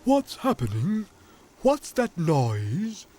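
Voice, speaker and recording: A man's voice: sits high, wildly swooping pitch, extremely slow, mellow, faint music behind, voice pushed up, full character voice. A man voicing a naive, curious young talking trailer in a children's story, with an innocent, questioning way of talking.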